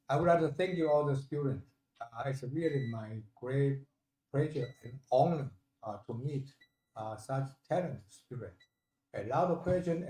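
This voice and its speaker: An older man with a Taiwanese accent speaks haltingly and humbly, giving a thank-you address as a professor. His voice is soft-edged and mellow, recovering after he lost it, and the room echoes around him. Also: mid-range voice. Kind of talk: valedictory thank-you speech. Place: indoor hall at a university ceremony.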